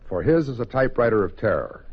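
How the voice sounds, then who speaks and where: Deep-voiced dramatic old-radio host. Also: male voice, big pitch swings, fast, husky. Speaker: host announcer. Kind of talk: dramatic scripted 1940s radio introduction. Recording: muffled vintage radio recording.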